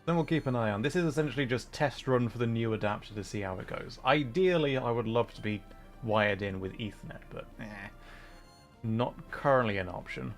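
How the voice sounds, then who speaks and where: A man's voice: mid-range voice, fairly quick. Rambling man streamer with music behind. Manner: rambling, thinking aloud. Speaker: livestream host. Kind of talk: casual gaming livestream chat while playing.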